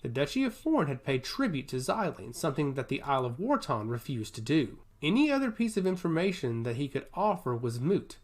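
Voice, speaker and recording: A man reads scripted fantasy narration as a storyteller. His voice is on the higher side for a man and moves through a wide range of pitch. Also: big pitch swings, a little fast, hardly any pauses.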